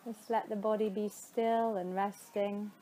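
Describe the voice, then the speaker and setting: A woman teaching yoga nidra, guiding a meditation in a soothing, unhurried way with spacious pauses between phrases. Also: mid-range voice, flat delivery, warm tone, slight background noise, low-quality recording. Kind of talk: guided meditation.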